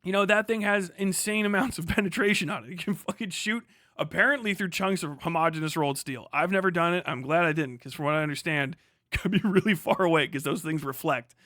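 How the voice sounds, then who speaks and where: Bright, profane male livestreamer. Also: fairly high pitch, fast, dry recording, clean studio sound. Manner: profane, rambling, emphatic explaining. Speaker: livestream host. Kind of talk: casual livestream commentary.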